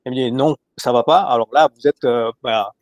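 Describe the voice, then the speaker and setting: A man's voice: medium pitch, a little fast, dry recording. A man moderating an online panel discussion, joking and stumbling over repeated words as he talks. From Paris. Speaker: webinar moderator.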